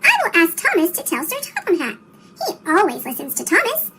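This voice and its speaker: A woman's voice: very high-pitched, huge pitch swings, brisk, sharp tone, slight background noise, full character voice. A woman voicing an eager, friendly young male steam engine in a children's storybook, through a sped-up chipmunk effect.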